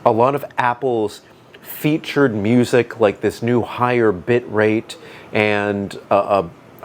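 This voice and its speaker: A man with a booming voice giving a casual product review, thinking aloud with fillers and restarts. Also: slight background noise. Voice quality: booming deep voice. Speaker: tech reviewer. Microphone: dedicated microphone.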